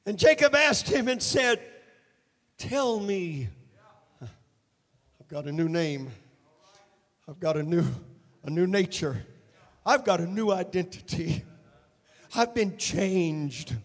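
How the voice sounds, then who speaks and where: A man preaching a dramatic sermon, acting out voices in the story and rising to shouts at the peaks. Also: sits high, long reverb tail. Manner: dramatic, acts out biblical figures in quotes, shouts at peaks. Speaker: pastor.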